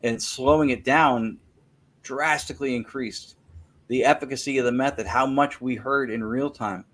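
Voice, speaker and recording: A man's voice: mid-range voice, steady tone, moderate pace, fairly bright tone. Guy on a show walking through his technical setup step by step, restarts a lot, normal middle voice. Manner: detailed, technical storytelling; self-correcting, repeats words while thinking. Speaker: guest, spirit-communication researcher. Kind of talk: casual interview, explaining a method.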